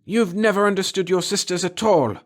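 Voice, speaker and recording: Man voicing a scornful, vengeful woman, pitched high. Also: fairly quick, lightly acted. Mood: furious, scornful. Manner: scornful, impassioned. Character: bitter, vengeful gaunt older sister, spitting with passion. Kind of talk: dramatic audiobook character reading.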